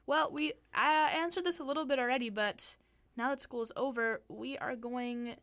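A woman's voice: sits high, steady tone, moderate pace, a bit bright, dry recording. A young woman co-hosts a campus radio show in a playful, joking way. She reads listener questions aloud and banters easily with her partner. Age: young adult. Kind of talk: casual radio chat.

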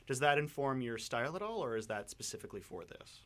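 Male radio co-host, animated intonation, mid-range voice, wordy and meandering interviewer. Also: mid-range voice, fast. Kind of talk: casual interview chat. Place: radio studio.

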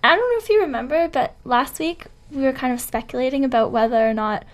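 A woman co-hosting a morning radio show from a studio, chatting brightly and joking with her partner. Her voice sits a little high, with a light, easy manner. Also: quick pace. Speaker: radio co-host. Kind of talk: casual morning radio chat.

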